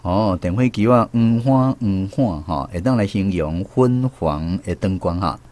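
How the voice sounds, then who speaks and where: A man with a Taiwanese accent hosting a radio language lesson in a measured, explanatory way. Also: low-pitched, a little mellow. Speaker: radio show host.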